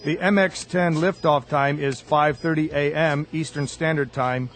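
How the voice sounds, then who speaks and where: An actor playing a brisk, procedural male mission control announcer, clipped and formal, in a radio drama. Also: on the high side, fairly even intonation, soft background music, natural voice. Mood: formal, controlled.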